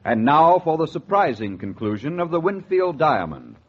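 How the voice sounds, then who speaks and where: A man's voice: a little high, sing-song, moderate pace, faint music behind. A man announcing in a dramatic, portentous 1940s radio style, as host of a suspense show. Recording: dull vintage 1940s radio recording.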